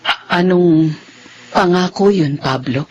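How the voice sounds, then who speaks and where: A woman's voice: fairly low pitch, takes it slowly, dull audio, a little hiss or hum. An actress with a Filipino accent playing a humble, struggling widowed mother in a radio drama, hesitant and emotional. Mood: puzzled, astonished.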